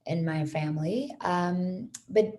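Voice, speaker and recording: A woman's voice: lowish voice, takes it slowly, darkish tone. A woman in her thirties from Iran, whose first language is Persian, speaking in a friendly way on a panel with many fillers. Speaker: panelist, lawyer. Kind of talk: panel talk over video call.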